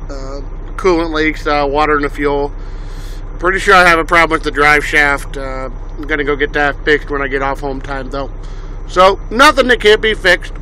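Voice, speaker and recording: Nasal truck driver, bright voice. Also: male voice, on the high side, moderate pace, low-quality recording. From Illinois, USA. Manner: rambling, many uhs and ums. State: nasal from a lingering cough. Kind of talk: casual vlog tour. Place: parked truck cab, then outdoors in wind. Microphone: handheld phone camera. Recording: faint engine and wind noise.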